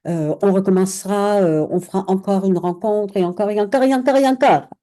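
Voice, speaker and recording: A woman's voice: medium pitch, fairly quick, dark tone. A woman hosting an interview, asking questions casually and hesitantly, with lots of fillers. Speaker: interviewer, host.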